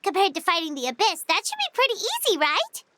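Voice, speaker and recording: A woman voicing a tiny, excitable, childlike fairy companion in a video game, with an exclamatory way of talking. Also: very high voice, brisk, background noise, full character voice. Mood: puzzled. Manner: exclamatory, refers to self in third person. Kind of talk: English video game voice acting. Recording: loud music behind the voice. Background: game music.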